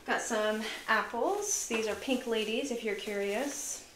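A woman vlogger chatting casually through a grocery haul, relaxed and full of fillers, sounding tired. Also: a little high, measured pace. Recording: echoey room with noise.

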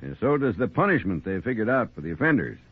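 An actor playing a folksy, exasperated village judge with an American accent and homespun talk, in 1940s radio drama style. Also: male voice, fairly low pitch, sing-song, fast, no room sound. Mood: reluctant, exasperated. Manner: folksy, homespun rural way of talking. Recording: scratchy, husky-sounding 1940s radio recording, phone-like.

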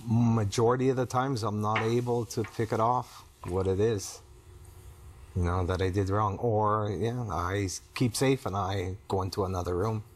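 A man in his fifties talking haltingly and candidly about himself in a personal conversation. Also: fairly low pitch, fairly even intonation, slight background noise. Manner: halting, hesitant. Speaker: client in couples therapy interview. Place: small indoor TV studio living-room set.

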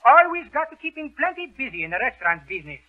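Greek immigrant restaurateur, radio comedy: very high voice, fractured English, thin vintage broadcast sound. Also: male voice, big pitch swings, fast. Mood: genial, garbled. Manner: garbled grammar, malapropisms. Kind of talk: 1930s radio comedy acting. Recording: muffled, phone-like 1930s radio recording.

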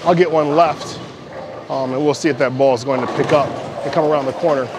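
Higher-voiced American man, noisy bowling alley. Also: steady tone, fairly quick. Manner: conversational, explanatory, talking in short bursts while bowling. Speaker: bowling company CEO, product reviewer. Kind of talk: casual product review and demonstration. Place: large indoor bowling alley. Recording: clattering bowling alley noise. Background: bowling alley clatter and ambience.

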